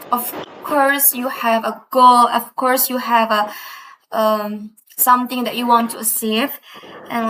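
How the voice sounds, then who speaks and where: A young woman with an Indonesian accent, a student interviewer asking questions in halting, hesitant phrases. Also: on the high side, sharp tone, slight background noise, poor audio. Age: young adult.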